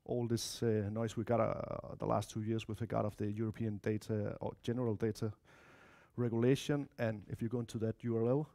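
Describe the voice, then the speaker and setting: Mellow Danish-accented man giving a talk. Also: lowish voice, flat delivery, brisk. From Denmark or Spain, of mixed Spanish-Danish family. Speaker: conference speaker, software developer. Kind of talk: informal technical talk with slides. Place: lecture room, indoors.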